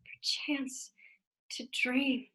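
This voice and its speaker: A woman reciting classical verse in a high voice. She delivers it slowly and in a measured, brooding way, at a theatrical pace. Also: level tone, sharp tone, heavily acted, low-quality recording. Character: woman performing a tragic stage soliloquy.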